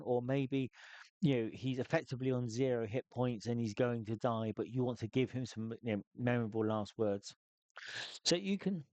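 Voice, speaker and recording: A man talks through solo tabletop game advice at a middling pitch, thinking aloud and restarting his sentences often. The sound is dry and close, with little room around it. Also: darkish tone, dry recording. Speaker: solo tabletop game player giving tips. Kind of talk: casual spoken advice.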